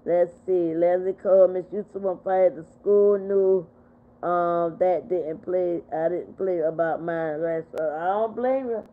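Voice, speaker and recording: A woman in her fifties hosting a casual livestream chat, reading out viewer comments and answering them in an animated way. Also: on the low side, measured pace. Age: 50s. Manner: animated, talks back to viewers. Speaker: livestream host. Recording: phone-like narrow sound.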